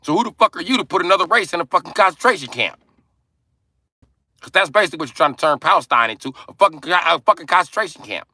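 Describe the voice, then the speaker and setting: A young adult man ranting angrily and forcefully on a livestream from inside his car. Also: fairly high pitch, expressive, fast, no echo, clean studio sound. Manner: angry, forceful, profane ranting. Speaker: livestream host. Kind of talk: angry profane livestream rant.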